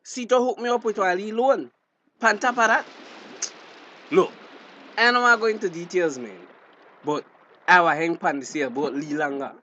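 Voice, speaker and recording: A man's voice: high voice, moderate pace, pauses often, pushed and bright, highs cut off, a little hiss or hum. An actor playing an evasive, hard-nosed fisherman with a Belizean Creole accent, talking defensively in a drama. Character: evasive, hard-nosed male fisherman drawn to shady deals. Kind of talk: naturalistic dialect radio drama acting.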